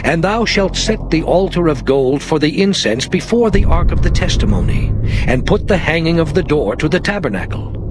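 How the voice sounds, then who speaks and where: A solemn divine male figure, acted by a man, issues measured commands in a scripture drama. The voice sits a little high for a man, with prominent music playing behind it. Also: lightly acted. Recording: voice under loud background music. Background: loud music.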